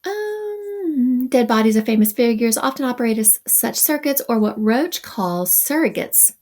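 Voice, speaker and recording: Woman reading and commenting on a text, gravelly. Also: a little high, expressive, a little slow, dark tone. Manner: reads passages then adds wry asides. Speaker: teacher.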